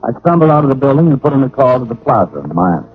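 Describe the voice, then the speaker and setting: An actor playing a wry, deadpan hard-boiled private detective in a 1940s-style radio drama. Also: male voice, medium pitch, racing, close to an everyday voice. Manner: deadpan, wisecracking. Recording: noisy, tinny old radio recording.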